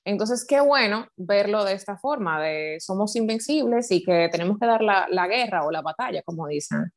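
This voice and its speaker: A woman's voice: mid-range voice, animated, normal speed. A woman from the Dominican Republic hosting a podcast interview in an upbeat, affirming way. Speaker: podcast host, life coach.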